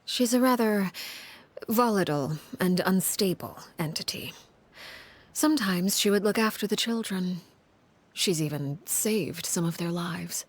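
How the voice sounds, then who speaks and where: An actress playing a cold, commanding woman who rules an orphan household, speaking in a low, husky, hushed and measured way in a video game. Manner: calm, measured, menacing.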